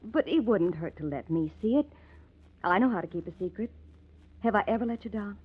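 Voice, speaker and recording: A woman's voice: mid-range voice, animated, brisk, husky, phone-quality sound. An actress in an old-time radio crime drama playing a man's familiar, inquisitive woman friend, with a coaxing way of talking. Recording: thin, band-limited vintage radio recording.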